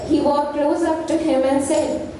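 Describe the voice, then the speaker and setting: A woman's voice: high-pitched, level tone, measured pace. A young woman giving scripted story narration for a stage play. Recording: echoey hall, distant mic, faint noise.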